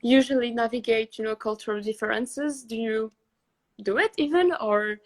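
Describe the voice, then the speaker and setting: A woman hosting a podcast, asking long, hesitant questions in a relaxed chat. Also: on the high side, moderate pace. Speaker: podcast host. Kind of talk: casual interview questions.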